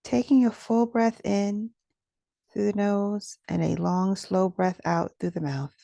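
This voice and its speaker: A woman leading a guided meditation as its teacher, speaking in a gentle, soothing, instructional manner with soft pauses. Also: medium pitch, steady tone, creaky, no room sound.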